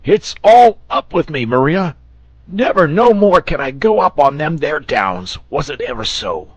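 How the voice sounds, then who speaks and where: A man's voice: on the high side, big pitch swings, unhurried, dry recording, pitched above the performer's own voice. A man voicing an agitated, superstitious country shepherd in an audiobook, with rustic, exclamatory phrasing. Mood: panicked. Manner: rustic dialect grammar, exclamatory.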